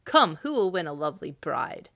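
A woman reading aloud, voicing a rude male steward knight who mocks loudly. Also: deep voice, moderate pace, vocal fry. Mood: mocking. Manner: mocking, loud.

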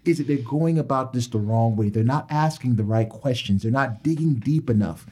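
A man's voice: medium pitch, moderate pace, darkish tone, breathy edge. A man arguing his point emphatically in a panel discussion, repeating words and restarting sentences. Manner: emphatic, repetitive, restarts often. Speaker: panel guest. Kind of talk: animated discussion.

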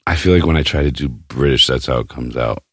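A man's voice: extremely low pitch, a little fast, a bit bright, breathy edge, no room sound, clean studio sound. A man hosting a casual podcast chat, talking in a brash, crude, joking way. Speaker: podcast host.

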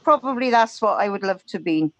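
Gentle older Welsh woman, dry close sound. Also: mid-range voice, animated, a little fast, dry recording, highs cut off. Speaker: retired civil servant, library volunteer.

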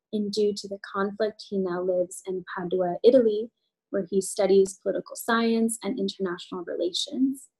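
A woman with a mellow, warm voice opens an event and introduces the guest speaker. She reads out a prepared introduction at an even, measured pace. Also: mid-range voice, low-quality recording. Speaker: event host. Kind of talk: scripted introduction.

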